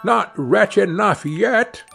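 A man's voice: high-pitched, expressive, takes it slowly, creaky, bright, forceful tone, soft background music, voice pushed up, exaggerated voice. A man voicing a small female sparrow in a storybook, helpful to friends and fiercely vengeful, with emphatic delivery.

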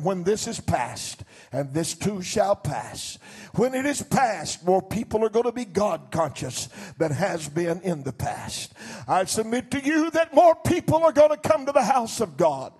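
An older man preaching a sermon as pastor from a church stage into a handheld mic, swinging between shouted declarations and low, quiet passages. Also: slightly rough. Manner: fervent preaching, rising to shouts then dropping low.

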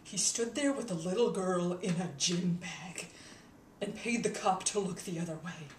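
A woman's voice: fairly low pitch, lightly acted. Woman acting a haunted, intense vigilante, echoey room. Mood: haunted, hushed. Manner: intense, halting, swinging from hushed to shouting. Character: haunted, embittered young woman wizard turned vigilante killer. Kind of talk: dramatic audition monologue. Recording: echoey room, distant mic, faint noise.

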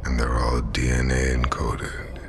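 Very deep, gravelly convict antihero. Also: male voice, unhurried, a little hiss or hum. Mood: cool menace. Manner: terse, deadpan, ominous. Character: menacing, calm, hard-bitten male convict antihero. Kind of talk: cinematic video game acting.

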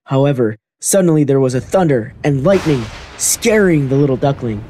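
A man's voice: medium pitch, animated, normal speed, mellow, a little hiss or hum. A man giving scripted narration of a children's fairy tale.

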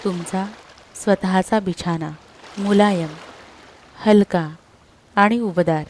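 A woman narrating a scripted sleep meditation in a soothing, unhurried manner, leaving long pauses between short phrases. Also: mid-range voice, a little mellow, slight background noise.

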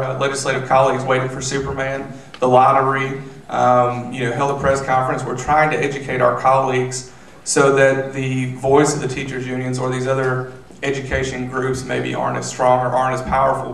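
A man in his thirties, a state legislator, answering questions on a panel with measured, filler-filled speech into a handheld mic. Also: mid-range voice, gravelly, a bit bright. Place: theater stage. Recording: roomy, distant, faint noise.

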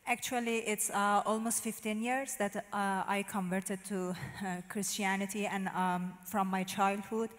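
A woman's voice: mid-range voice, steady tone, unhurried, hardly any pauses. A woman in her thirties from Iran, a native Farsi speaker with a Persian accent, giving a hesitant personal testimony with many fillers into a handheld microphone on a church stage. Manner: hesitant, frequent "uh" fillers, non-native phrasing. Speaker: interview guest giving testimony. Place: stage in a large church, indoors. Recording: long reverb of a large hall.

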